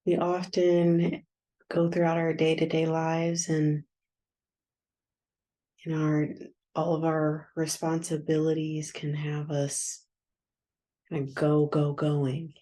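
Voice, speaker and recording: A woman guiding a yoga nidra meditation in a soft, soothing, unhurried manner with long pauses. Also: low-pitched, monotone. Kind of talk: guided meditation.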